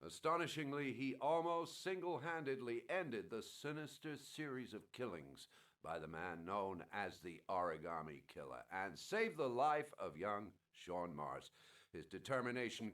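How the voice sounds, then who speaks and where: Lively low-voiced TV presenter. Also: male voice, moderate pace, distant mic. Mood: admiring, emphatic. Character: formal TV presenter praising a national hero. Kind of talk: scripted broadcast announcing in a video game.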